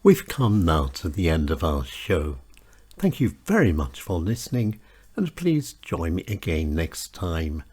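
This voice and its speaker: A man with a very low, husky voice reads his own reflective essay as the host of his show. His delivery is slow and solemn, with a lot of rise and fall in the melody, and the sound is close and dry. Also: sing-song, a little mellow, no room sound. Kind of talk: scripted reflective narration.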